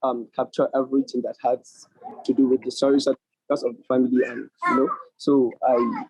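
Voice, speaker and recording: A man speaking haltingly and off the cuff, repeating words as he goes, as a guest poet on an online call. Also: medium pitch, level tone, noisy background. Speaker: contributing poet. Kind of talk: unscripted answer at an online literary event. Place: at work, on a weak internet connection. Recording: muffled, lo-fi internet call, dry.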